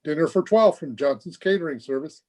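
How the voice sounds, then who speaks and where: Man playing a cheeky delivery boy, lively swooping voice. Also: fairly high pitch, a little fast, close to an everyday voice. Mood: matter-of-fact. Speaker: amateur radio player. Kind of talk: old-time radio comedy acting.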